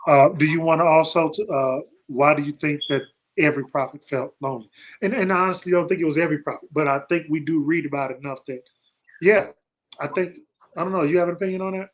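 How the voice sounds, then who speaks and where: A man's voice: on the high side, fast. An American man hosting a scripture study, talking reflectively and often searching for his words. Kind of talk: reflective Bible discussion.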